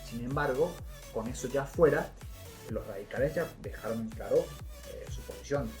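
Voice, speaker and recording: Argentine man over clear background music. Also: mid-range voice. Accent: Argentine Spanish. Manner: colloquial, opinionated. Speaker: co-host of a political podcast. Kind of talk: casual political commentary. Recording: music playing behind.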